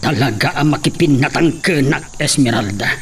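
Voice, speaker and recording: A man's voice: medium pitch, normal speed, a little hiss or hum, natural voice. An actor playing a stubborn aging farmhand and father in a radio drama. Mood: stern.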